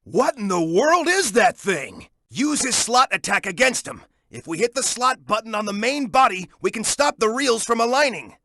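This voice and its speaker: An American man voicing a young, hot-headed male echidna hero in a video game, with a gruff, brash and commanding delivery of hints and battle callouts. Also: high-pitched, sharp tone, dry recording, clean studio sound, exaggerated voice. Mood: tactical, focused.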